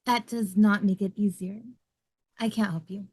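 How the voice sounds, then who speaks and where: A woman's voice: medium pitch, steady tone, normal speed, darkish tone, husky, no echo, lightly acted. A woman playing a reluctant, by-the-book bank clerk in a comedy table read, formal and hesitant. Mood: disapproving.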